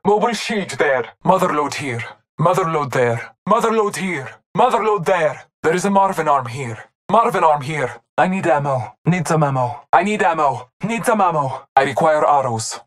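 An actor voicing a solemn, devout hunter in a video game, giving short callouts in a measured, formal, stoic manner. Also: medium pitch, lightly acted. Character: solemn, devout masked hunter, calm and reverent.

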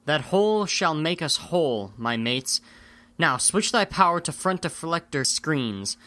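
Guy playing resolute pilot commander, forceful highish voice, olde english verse, dry recording. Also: lively intonation, a little slow, no room sound. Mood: commanding. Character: resolute male rebel squadron leader. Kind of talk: dramatic reading in Elizabethan verse.

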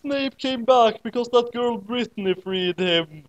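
A man's voice: extremely high pitch, measured pace, lo-fi sound, voice pushed up. A man voicing a worried, earnest female teacher at a wizard school, urgent and fretful. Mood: worried, reassuring.

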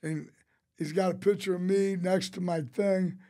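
High-pitched older man spinning anecdotes. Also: moderate pace. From St. Louis, United States. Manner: rambling, animated storyteller who quotes others. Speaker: interview guest, restaurateur. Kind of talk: casual anecdotal storytelling. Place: small podcast studio. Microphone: close studio mic on a boom arm.

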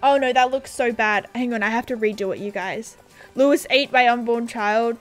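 A woman's voice: fairly high pitch, moderate pace, faint music behind. Chatty woman streamer. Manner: chatty, reads viewer messages aloud, excitable. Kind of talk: casual livestream chat. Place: home room at a gaming setup. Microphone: headset.

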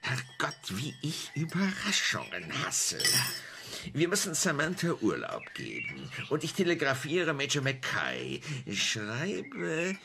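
An actor playing a grumpy, scheming gentleman who grumbles coarsely, in a German radio play. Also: a little high, huge pitch swings, vocal fry, noisy background, full character voice. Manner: grumbling, swearing, deliberate.

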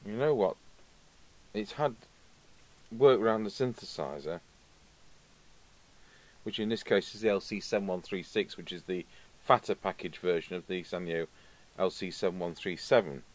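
A man in middle age speaks in a fairly low voice. He gives an informal running commentary from his workbench, often musing to himself as he goes. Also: normal speed, long pauses. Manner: musing aloud to himself while working. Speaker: radio repair video host. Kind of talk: casual hands-on workbench commentary. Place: indoor workbench, overhead camera.

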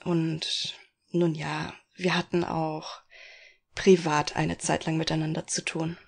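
A woman's voice: deep voice, flat delivery, takes it slowly, vocal fry, breathy edge, exaggerated voice. A woman playing a polite middle-aged former actress in a detective radio play parody. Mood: reflective.

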